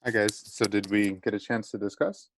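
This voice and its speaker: A man's voice: fairly low pitch, steady tone, fairly quick, a little hiss or hum. A man teacher leading a small online class discussion, encouraging students and checking in often. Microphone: video call.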